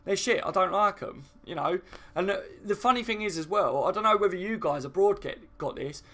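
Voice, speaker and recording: Southern English man, higher-pitched, chatting casually. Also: animated, a little fast, fairly bright tone. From southern England. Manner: informal, matey, rambling, sometimes sweary. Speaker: football fan channel live stream host. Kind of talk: casual opinionated live stream chat.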